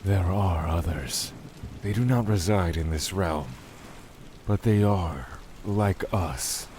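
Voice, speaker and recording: An actor playing a brooding lord of a dark void realm in an audio drama, speaking in a measured, solemn way. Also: male voice, low-pitched. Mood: guarded. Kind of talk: audio drama first-person narration and dialogue. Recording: faint noise under rain and thunder. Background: rain and thunder ambience.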